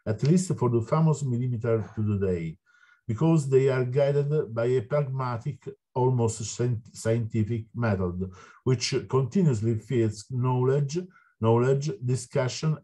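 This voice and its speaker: Middle-aged italian guy reading his speech on a video call, formal and a bit halting, husky voice. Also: mid-range voice, mellow. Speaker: board member. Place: indoor office with wooden wall.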